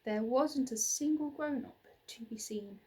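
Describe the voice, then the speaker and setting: Female storybook reader, 30s, slightly high and husky, dramatic emphasis. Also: unhurried, a little mellow. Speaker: teacher reading a children's book aloud. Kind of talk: expressive storybook narration. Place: small plain indoor room.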